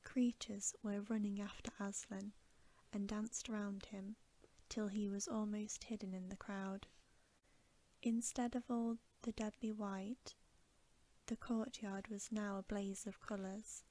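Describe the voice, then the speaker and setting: Female ASMR reader for bedtime stories: hushed, gentle whisper, very slow pacing, even intonation. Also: mid-range voice. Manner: soft, gentle, hushed and unhurried.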